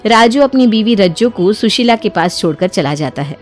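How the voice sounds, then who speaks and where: A woman's voice: mid-range voice, expressive, normal speed, darkish tone, soft background music. A woman narrator telling a scripted family story in a storytelling style.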